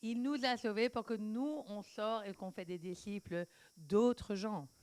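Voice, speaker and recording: A woman interpreting a sermon live, phrase by phrase, with halting wording and self-corrections. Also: mid-range voice, a little mellow.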